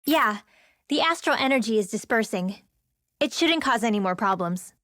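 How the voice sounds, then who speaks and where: A teenage mage girl with a fairly high, youthful voice. She is sensitive and self-doubting, and speaks sincerely in a video game drama. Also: expressive, normal speed, fairly bright tone, no echo, clean studio sound. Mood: thoughtful.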